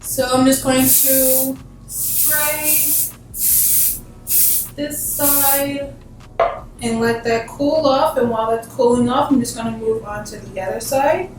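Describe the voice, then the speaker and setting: A woman's voice: high-pitched, steady tone, takes it slowly, pauses often. A woman giving a casual, chatty hair tutorial in an informal, emphatic way, peppered with filler words like "literally". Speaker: beauty vlogger presenting a tutorial. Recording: very echoey room, distant mic, faint music.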